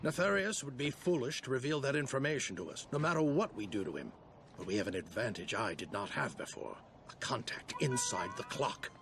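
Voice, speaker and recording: A man voicing a dignified older alien general and mentor in a video game cutscene, speaking in a formal, measured way. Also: medium pitch, lively intonation, noisy background, lightly acted. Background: game score music.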